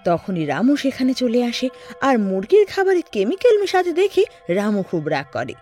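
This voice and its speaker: A woman storyteller delivers scripted narration dramatically. Her pitch swings very widely, and music plays behind her. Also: wildly swooping pitch, fairly quick, a little mellow.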